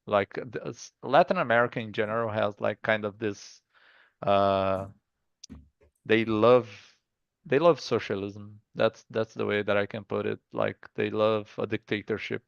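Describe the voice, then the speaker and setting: A man with a Brazilian accent, a guest in a casual interview, who talks haltingly and often restarts with "like". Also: on the low side, fairly even intonation, vocal fry, dry recording, muffled recording. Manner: halting, stops and restarts, says 'like' often. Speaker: guest artist.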